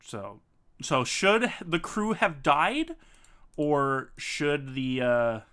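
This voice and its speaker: A man hosting a casual live stream with friends, animated and quick to laugh, sometimes reading haltingly. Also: a little high, clear, resonant tone. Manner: animated, laughs often, reads aloud haltingly. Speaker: streamer and host.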